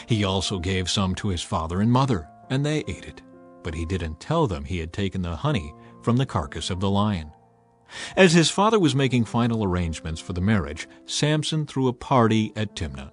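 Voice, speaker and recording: A man narrating a dramatized scripture audiobook as an expressive storyteller. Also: mid-range voice. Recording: music behind the voice. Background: music.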